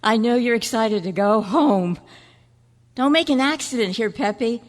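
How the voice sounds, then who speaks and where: A woman's voice: fairly high pitch, moderate pace, lightly acted. A woman playing a fussing, coaxing dog owner in a staged radio drama. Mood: coaxing, fussy. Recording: echoey hall with long reverb.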